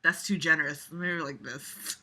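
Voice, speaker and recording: A woman's voice: low voice, fairly even intonation, normal speed, sharp tone, a little hiss or hum. A woman casually reading aloud with mocking commentary, sarcastic, exasperated and foul-mouthed. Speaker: amateur reader and commentator with a regular audience.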